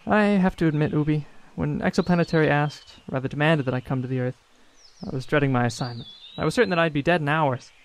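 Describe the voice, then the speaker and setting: An actor playing a gushing, lovestruck young religious brother, effusive and wordy, in audio drama dialogue. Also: male voice, a little high, fast, a little hiss or hum, lightly acted. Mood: smitten.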